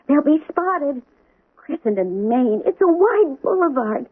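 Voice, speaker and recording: An actress playing a frightened young wife, pleading through tears in a radio drama. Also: female voice, sits high, sing-song, unhurried. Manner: pleading, tearful. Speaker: Maynard's wife. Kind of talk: 1970s radio drama acting. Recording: tinny old radio broadcast recording.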